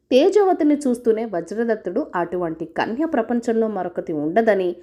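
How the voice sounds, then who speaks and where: A woman's voice: medium pitch, a little mellow. A woman reading a scripted folk tale as a storyteller.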